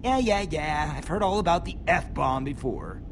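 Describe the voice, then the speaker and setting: Over clearly audible background noise comes a brash video game troublemaker. His gravelly, swooping delivery is mocking and full of bluster, every line boastful and sarcastic. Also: fairly high pitch, lively intonation, measured pace. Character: brash, sarcastic, boastful male demolition griefer rival. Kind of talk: animated video game acting.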